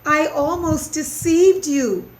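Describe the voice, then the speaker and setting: Storybook mouse boy done by a woman, guilty, squeaky, tearful, very high-pitched, roomy echo. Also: slow, a little mellow. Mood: anxious, confessing. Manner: tearful, earnest. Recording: roomy echo, faint background noise.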